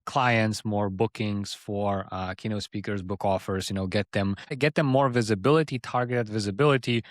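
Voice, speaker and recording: A man's voice: on the low side, fairly even intonation, moderate pace, no echo, studio quality. A man in his thirties talking casually as an interview guest, often rephrasing mid-sentence as he explains himself. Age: 30s. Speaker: interview guest, agency founder.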